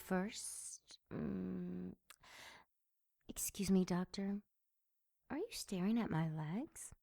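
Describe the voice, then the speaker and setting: Slinky femme fatale, young, dark soft low voice, drags words out real slow, teasing and dangerous, radio drama. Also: female voice, soft background music, heavily acted. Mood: coy, teasing. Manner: slow, breathy purring, teasing and commanding. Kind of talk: audio drama acting.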